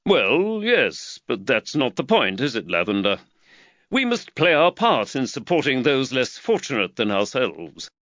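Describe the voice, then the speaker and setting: Guy doing a preachy old-school magistrate, pitched up, all animated, dull dry sound. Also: measured pace, no echo. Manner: lively, preachy, warms to his theme. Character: gruff middle-aged male London magistrate, earnest.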